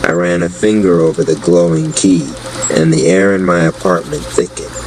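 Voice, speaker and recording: Male narrator for a spoken-word audio drama, low-pitched and unhurried, with clear background noise. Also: level tone.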